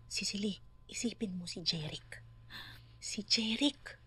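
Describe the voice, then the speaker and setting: A woman's voice: medium pitch, slow, very noisy, close to an everyday voice. Urgent, coaxing woman friend played by actress. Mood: hushed, intense. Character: earnest, coaxing best friend and romantic scriptwriter. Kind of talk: naturalistic comedy film acting.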